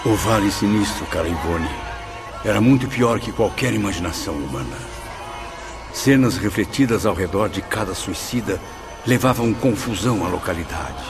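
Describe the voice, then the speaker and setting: A man's voice: on the low side, lively intonation, moderate pace, over music, a crowd in the background. An actor playing a sombre spirit who recounts his torment as first-person narrator of a solemn radio drama.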